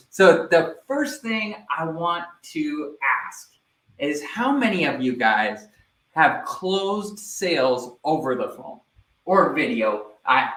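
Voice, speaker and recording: A man giving a live online sales training, energetic and speaking directly to viewers, often checking in with "right?". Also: on the high side, roomy sound, rough recording.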